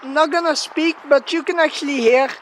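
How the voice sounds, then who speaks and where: A woman's voice: sits high, fairly quick. A woman chatting casually and rambling as she presents a gear test outdoors, informal, sweary and self-deprecating, in a noisy location recording. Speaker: gear tester presenting a megaphone test video. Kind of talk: casual rambling vlog chat. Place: outdoors in an open area near a road.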